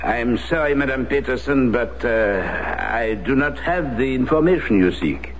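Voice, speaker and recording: An actor playing an older priest in a radio drama, speaking in a measured, formal, hesitant way. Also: male voice, medium pitch, lively intonation, close to an everyday voice. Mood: polite, guarded. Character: guarded French parish priest, former Resistance leader. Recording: dull, noisy vintage radio recording.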